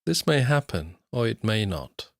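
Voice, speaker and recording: Low-voiced man guiding a slow meditation. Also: sing-song, gravelly, dry recording, studio quality. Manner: measured, unhurried, with long pauses between short phrases. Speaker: meditation guide. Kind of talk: guided body scan meditation, slow instructional narration.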